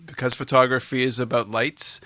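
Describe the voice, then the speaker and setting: A man's voice: medium pitch, flat delivery, fairly quick, bright, forceful tone, dry recording. A middle-aged man hosting a podcast, interviewing in a casual, informal and playful conversational way.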